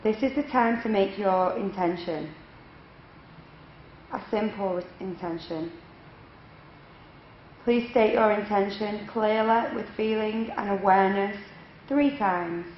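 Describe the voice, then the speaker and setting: A woman yoga instructor leading a guided relaxation meditation in measured, instructional phrases with unhurried pauses. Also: medium pitch. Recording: echoey room, dull lo-fi, faint noise.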